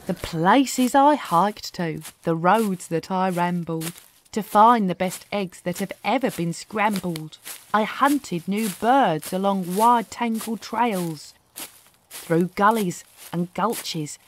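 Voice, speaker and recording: A woman narrating rhyming children's verse in an expressive, playful, deliberate storytelling manner. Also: mid-range voice, a little hiss or hum.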